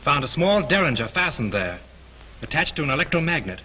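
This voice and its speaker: A man's voice: on the high side, big pitch swings, fairly quick, bright, forceful tone, breathy edge, full character voice, low-quality recording. A young man playing a confident amateur sleuth with a breezy, joking manner, in a 1930s film. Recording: hissy 1930s film soundtrack.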